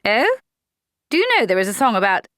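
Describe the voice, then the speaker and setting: A woman's voice: medium pitch, big pitch swings, a little fast, a bit bright, dry recording, studio quality, voice pushed up. A woman doing a flustered young lieutenant. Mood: bewildered. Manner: fussy, polite, nervous. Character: flustered young male army lieutenant, gentle.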